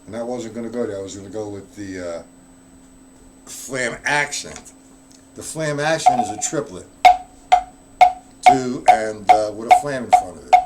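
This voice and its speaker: A man's voice: fairly low pitch, normal speed, long pauses, very noisy. A man giving a casual live-streamed drum lesson, explaining rudiments in a loose, chatty way and answering viewers in the chat. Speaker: drum teacher.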